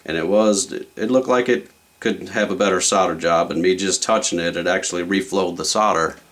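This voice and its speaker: A man's voice: low voice, normal speed, fairly bright tone. A man hosting a casual repair video, talking through the job step by step in a plain-spoken way. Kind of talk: casual hands-on repair walkthrough.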